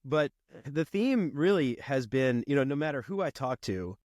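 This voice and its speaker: A man who sounds congested from a cold. He rambles easily, with plenty of fillers. His voice sits mid-pitch and close to the mic, with no room sound at all. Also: moderate pace, dry recording. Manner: relaxed, rambling, frequent fillers and laughs. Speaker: podcast guest, podcast host himself. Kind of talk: casual conversation.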